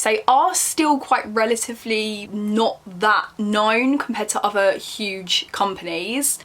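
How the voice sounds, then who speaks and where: She is a young British woman with a fairly high voice. It has a slight croak from a cold she is getting over. She talks in a casual, chatty way, like a vlogger sitting down to address the viewers. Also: a bit bright. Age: young adult. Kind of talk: casual chatty sit-down talk to camera.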